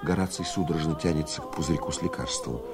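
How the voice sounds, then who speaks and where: A man's voice: a bass voice, fast. A man narrating a 1970s radio drama in a scripted, stage-direction style. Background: music.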